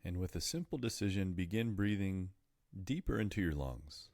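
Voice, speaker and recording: A man leading a guided meditation, speaking in soothing, measured phrases with deliberate pauses. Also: sits low, expressive, a little mellow, no echo, clean studio sound.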